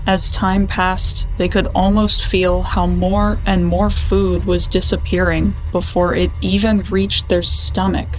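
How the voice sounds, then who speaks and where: A woman narrating a creeping horror story in a measured, deliberate storytelling manner. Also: lowish voice, little pitch movement, rough recording. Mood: measured, ominous. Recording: loud music under the voice.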